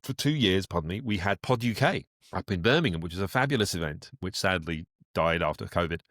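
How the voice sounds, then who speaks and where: Low-voiced man chatting, close dry sound. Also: animated, fairly quick, a bit bright, dry recording. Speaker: guest, voice actor and director. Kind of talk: conversational podcast interview.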